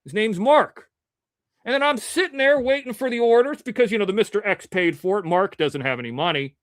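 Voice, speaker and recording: High-pitched man, from Ohio, livestream host, rambling casual chat, crisp diction, dry close sound. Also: brisk, no room sound. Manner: rambling, chatty, swears casually. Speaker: live show host reading chat.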